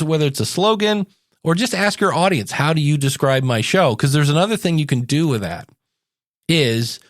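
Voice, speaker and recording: A man's voice: on the high side, dry recording, clean studio sound. A man hosting a podcast in a casual solo monologue, talking directly to his listeners in a conversational way. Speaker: podcast host and podcasting coach.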